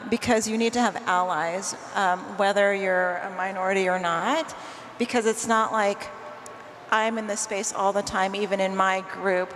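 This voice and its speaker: A woman's voice: medium pitch, a bit bright, slight background noise. A woman on a conference panel, speaking thoughtfully with many ums and uhs into a handheld mic. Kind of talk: panel discussion. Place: large conference hall stage. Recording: hall reverb, faint ambience.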